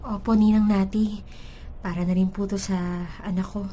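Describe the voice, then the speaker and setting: A woman's voice: mid-range voice, little pitch movement, moderate pace, warm tone, a little hiss or hum, close to an everyday voice. A woman playing a humble, apologetic young mother with a Filipino accent in a radio drama. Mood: humble, hopeful.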